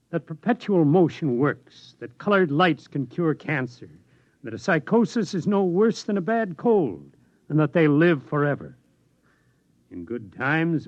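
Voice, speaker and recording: An actor playing a weary, disillusioned elderly scientist in a reflective 1950s radio drama style. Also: male voice, fairly high pitch, no room sound. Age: older. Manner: reflective, measured speechmaking. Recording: dull vintage radio recording.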